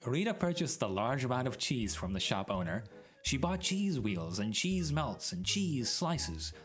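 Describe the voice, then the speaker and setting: Male storyteller, highly animated delivery, theatrical, mock-serious, comic narration, music behind. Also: a little slow. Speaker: storyteller, streamer reading aloud. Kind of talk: dramatic reading of a comic story. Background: music and sound effects.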